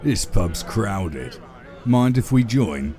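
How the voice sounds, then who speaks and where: An actor playing a smug, menacing tavern intruder in a fantasy audio drama, drawling and mock-polite. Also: male voice, on the low side, very animated, slow, mellow. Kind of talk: theatrical audio drama acting. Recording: music playing behind.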